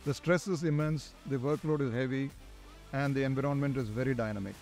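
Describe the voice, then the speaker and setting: A middle-aged man with an Indian accent answers as an expert guest. His voice is medium in pitch, his replies sound prepared, and music plays behind him. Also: a little fast, darkish tone. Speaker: guest, air traffic controller in charge. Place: large glass-walled airport tower room. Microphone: lapel. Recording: music under the voice. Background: background music.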